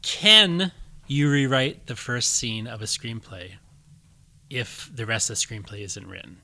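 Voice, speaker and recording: A man's voice: medium pitch, a little slow, pushed and bright, a little hiss or hum. A man hosting a podcast about writing, talking casually and explaining his points through leading questions. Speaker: podcast host. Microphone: studio microphone.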